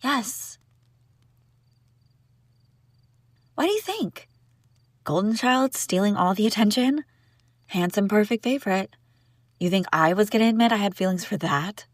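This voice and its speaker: A woman playing a sharp-tongued young princess bride who is secretly lovesick, in an intimate audio roleplay, teasing and indignant with sighs and broken-off phrases. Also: mid-range voice, animated, normal speed, no echo, clean studio sound, lightly acted. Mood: hurt, confessing, then bitter, vulnerable. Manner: teasing, indignant, sighing, breaking off mid-sentence. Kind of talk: intimate scripted audio roleplay addressed to listener.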